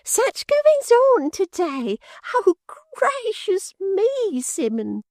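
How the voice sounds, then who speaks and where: A man reading an audiobook voices a spiteful gossiping young maidservant in a shrill falsetto with gushing, mock-breathless exclamations. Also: wildly swooping pitch, drawn-out words, a little mellow, no room sound, clean studio sound, full character voice. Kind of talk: audiobook character voice.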